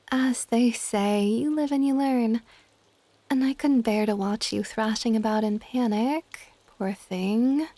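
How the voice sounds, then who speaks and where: Soft, mellow dragon goddess, acted by a woman. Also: fairly high pitch, no echo. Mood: fond teasing. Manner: soft, intimate, partly whispered, affectionate. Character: ancient dragon goddess, gentle, doting, possessive older woman. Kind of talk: ASMR romantic audio roleplay.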